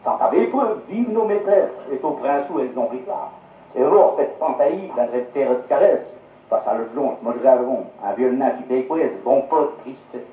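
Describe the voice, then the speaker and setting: A man with a Savoyard accent giving an animated, theatrical comic recitation in local dialect, on a 1950s radio recording. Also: mid-range voice, unhurried, recorded from a distance, a little hiss or hum. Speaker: patois reciter. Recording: echoey, narrow 1950s radio archive.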